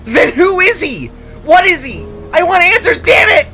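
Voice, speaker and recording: Man acting a distraught, high-pitched teenage boy. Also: very animated, normal speed, bright, forceful tone, faint music behind, heavily acted. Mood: angry, desperate. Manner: stammering, pleading outbursts. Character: distraught teenage boy, guilt-ridden, pleading and defiant.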